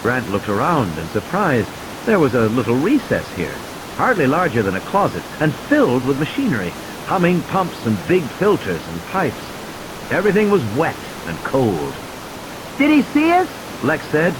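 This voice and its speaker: A man's voice: mid-range voice, wildly swooping pitch, normal speed, noisy background. A man reading a scripted adventure story as a dramatic audiobook narrator. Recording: music and sound effects behind the voice. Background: music and background sound effects.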